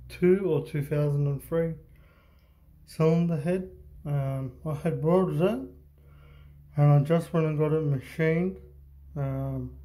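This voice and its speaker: A man, talking casually and haltingly about his workshop repair, with many pauses and ums, filmed close up on a handheld phone in an indoor workshop. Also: on the high side, mellow. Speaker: hobby boat mechanic. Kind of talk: casual workshop show-and-tell. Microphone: handheld phone camera, close-up.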